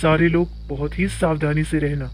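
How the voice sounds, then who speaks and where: A man's voice: a little high, little pitch movement, normal speed, a little hiss or hum, lightly acted. A man voicing a middle-aged village headman who warns the villagers to be careful. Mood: earnest. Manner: earnest, urging caution.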